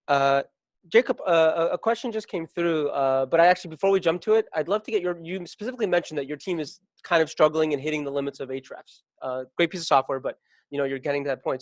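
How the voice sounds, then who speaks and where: A man's voice: fairly high pitch, no room sound. A man hosting a casual webinar panel, reading out questions and passing them to speakers. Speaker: panel host.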